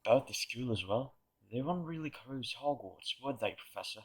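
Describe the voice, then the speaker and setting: A man's voice: mid-range voice, animated, a little fast, breathy edge. A man playing a polite, cold, controlled teenage wizard in an audio drama, speaking formally. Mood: deferential.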